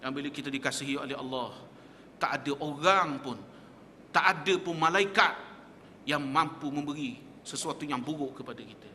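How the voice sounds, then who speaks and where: A man's voice: on the high side, normal speed, sharp tone, slight background noise. Male religious teacher, lecturing in a small mosque hall with a long reverb; a tense, pushing delivery. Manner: emphatic, rhetorical questions, quotes Arabic texts.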